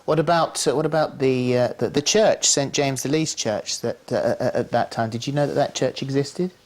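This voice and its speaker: A man's voice: medium pitch, moderate pace. A man presenting a TV interview, asking questions hesitantly with many false starts. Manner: hesitant, many fillers and restarts.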